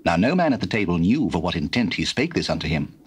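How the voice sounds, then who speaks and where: A male narrator with a fairly low voice tells scripture in a formal, theatrical way. His pitch moves widely through the phrases. It sounds like an old cassette, with some noise. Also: very animated, quick pace. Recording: noisy old cassette recording.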